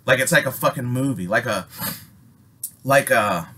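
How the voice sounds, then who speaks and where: A man from Alaska with an American accent telling a personal story casually, in an animated, rambling, slangy way full of swearing. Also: mid-range voice, normal speed, slightly rough. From Alaska, United States. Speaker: storyteller, host. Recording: noisy amateur recording with ambient sound.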